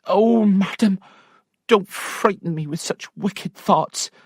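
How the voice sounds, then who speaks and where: A man's voice: sits high, slow pace, a little mellow, husky, dry recording, clean studio sound, pitched above the performer's own voice, exaggerated voice. A man playing a fussy lady's maid. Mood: fretful.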